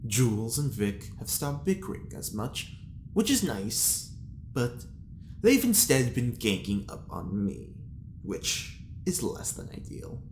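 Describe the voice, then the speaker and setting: A man's voice: medium pitch, big pitch swings, takes it slowly, darkish tone, a little hiss or hum. A man playing a pompous, sly prisoner with refined tastes in an audio drama, theatrical and arch. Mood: wistful, wry. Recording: roomy echo.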